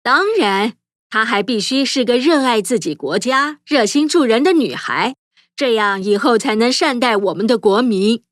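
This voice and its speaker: Woman voicing a gentle, soothing queen. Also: fairly high pitch, expressive, normal speed, no room sound, clean studio sound, natural voice. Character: gentle caring queen mother. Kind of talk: cartoon dubbing.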